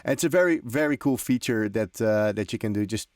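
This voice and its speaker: A man's voice: on the low side, a little fast, darkish tone, no room sound, clean studio sound. A man hosting a music production tutorial, explaining informally as he demonstrates, in loose, conversational and often hesitant phrasing. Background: electronic drum and synth loops.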